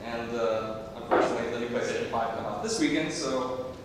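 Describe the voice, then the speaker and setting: A man's voice: a little fast, close to an everyday voice. A man playing a chatty, clueless young college student in a stage comedy, rambling and earnest. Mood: chatty, oblivious. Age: young adult. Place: theater stage. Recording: echoey theater, distant mic, rumbling noise.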